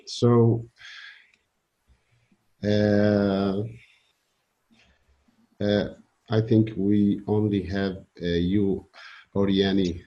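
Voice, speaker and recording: A man's voice: lowish voice, flat delivery. Hesitant Egyptian man on a dull call. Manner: hesitant, many filler pauses. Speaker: webinar host and university teacher. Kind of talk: casual online meeting talk. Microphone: video call.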